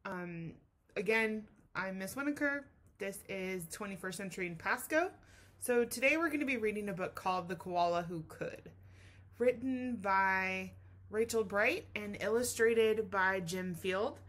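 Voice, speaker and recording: A woman's voice: mid-range voice, measured pace, fairly bright tone. A woman teacher giving a children's read-aloud lesson, speaking expressively and explaining things in simple terms.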